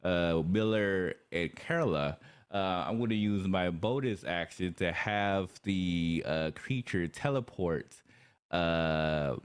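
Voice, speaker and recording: A man casually narrating his moves as a player in a tabletop game, measured and hesitant. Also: low-pitched, smooth.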